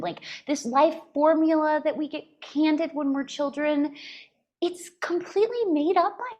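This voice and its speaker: Animated American woman in her forties. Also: high-pitched. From United States. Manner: animated and expressive, breaks into laughter. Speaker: podcast guest. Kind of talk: casual conversational storytelling.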